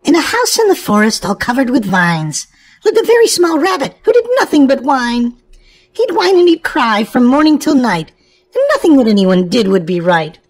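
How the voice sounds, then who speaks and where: A woman's voice: high voice, sing-song, normal speed, husky. A woman hosting a children's show and reading a storybook aloud in an exclamatory way, as if to young children. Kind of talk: animated children's read-aloud narration.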